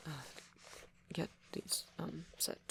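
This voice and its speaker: A man voicing a shy, gentle vampire boyfriend in an ASMR romance roleplay, stammering hesitantly in a soft, breathy voice. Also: slow. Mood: nervous, affectionate.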